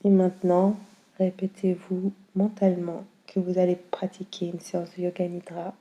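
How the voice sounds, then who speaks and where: A woman guiding a yoga nidra meditation in a calm, soothing, unhurried way with long pauses. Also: lowish voice. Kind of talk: slow guided meditation.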